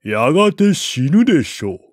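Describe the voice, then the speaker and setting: A man voicing a blunt, unruffled, aloof strategist in an audiobook, gruff and curt with drawn-out words. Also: a little high, big pitch swings, no room sound, clean studio sound, pitched above the performer's own voice. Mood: deadpan, blunt. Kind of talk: audiobook character voice.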